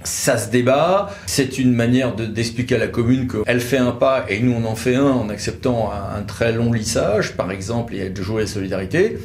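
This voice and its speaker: The room rings noticeably around this man's voice, with a slight noise underneath. He has an average-pitched voice and explains legal matters conversationally as an expert. His delivery is didactic and leans on repetition to make a point. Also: animated, moderate pace, few pauses. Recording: echoey room with light noise.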